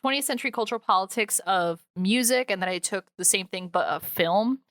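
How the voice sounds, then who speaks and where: Bright-voiced woman chatting on a podcast. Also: medium pitch, fairly quick, no echo, clean studio sound. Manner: informal, self-interrupting storyteller. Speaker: podcast co-host. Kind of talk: casual chatty podcast talk.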